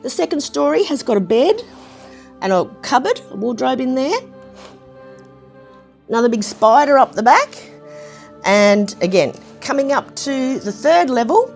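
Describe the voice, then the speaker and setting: A woman with a slightly croaky voice, chatting casually through a hands-on review of a building set, with plenty of ums and restarts. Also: sits high, very animated, unhurried, long pauses, faint music behind. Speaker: brick set reviewer, channel host.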